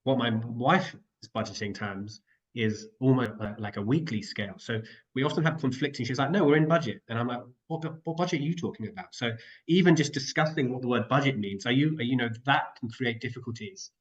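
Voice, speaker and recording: A man's voice: medium pitch, brisk, dull audio. A man with a cold and a nasal voice, chatting casually as a guest on an online panel, rambling and apologising as he goes. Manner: rambling, self-interrupting, apologetic. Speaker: guest carer and co-founder. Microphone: video call.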